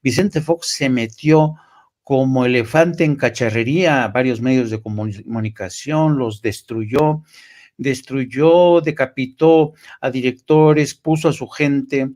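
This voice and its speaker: A man with a Mexican accent giving unscripted political commentary as a journalist, emphatic but halting, often repeating words. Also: mid-range voice, darkish tone.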